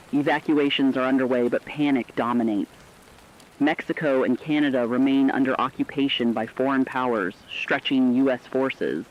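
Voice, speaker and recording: A man playing a sombre radio war correspondent, delivering a scripted news bulletin in a solemn, dramatic, deliberate way. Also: mid-range voice, lightly acted. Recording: dull lo-fi radio sound, faint noise.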